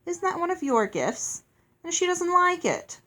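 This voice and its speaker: Woman reading as dry, firm storybook gentleman. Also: very high voice, sing-song, a little slow, voice pushed up, heavily acted. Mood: pointed.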